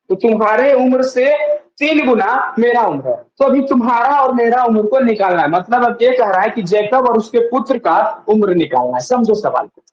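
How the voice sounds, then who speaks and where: A man's voice: high-pitched, reverberant, highs cut off. A male maths teacher explaining a lesson step by step, asking questions and answering them himself and repeating key points. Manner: asks and answers his own questions, repeats steps.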